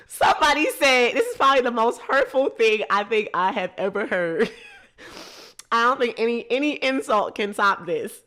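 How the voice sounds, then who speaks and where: A woman, hosting a podcast and explaining ideas in a lively, laughing, casual way. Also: fairly high pitch, a little slow. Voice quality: nasal. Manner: lively, laughs a lot, teasing. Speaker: podcast host. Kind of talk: casual teaching talk.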